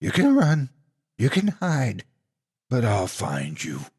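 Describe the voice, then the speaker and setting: A man voicing a menacing female monster in a horror folktale, taunting and threatening in a drawn-out delivery. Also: medium pitch, wildly swooping pitch, gravelly, a little mellow, husky, exaggerated voice. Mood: menacing, vengeful. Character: menacing female clawed monster posing as an auntie.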